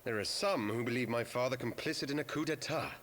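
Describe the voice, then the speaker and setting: An actor playing an earnest, conflicted young noble heir in a fantasy game, speaking in formal archaic language. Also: male voice, mid-range voice, fast, a bit bright, a little hiss or hum, natural voice. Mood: grave. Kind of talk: scripted fantasy game acting.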